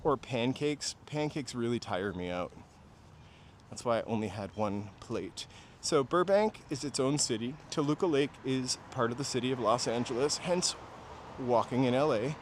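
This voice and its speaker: A man's voice: mid-range voice, lively intonation, moderate pace. A man talks in a mid-range voice. He rambles casually, like a tour host chatting to live viewers as he walks. Light urban street noise and vehicles sit behind him outdoors. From New Hampshire, USA. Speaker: walking-tour livestream host. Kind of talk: casual chat while walking. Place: outdoors on city streets, walking. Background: traffic and urban ambience.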